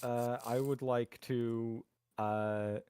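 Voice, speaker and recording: A man's voice: medium pitch, level tone, drawn-out words, warm tone, smooth. A man chatting casually as a player in a tabletop roleplaying game, with a wry, sarcastic way of talking.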